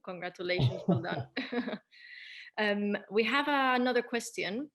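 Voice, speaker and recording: A woman's voice: mid-range voice, animated, a little slow, a bit bright. A woman moderating a panel discussion, putting questions to the guests.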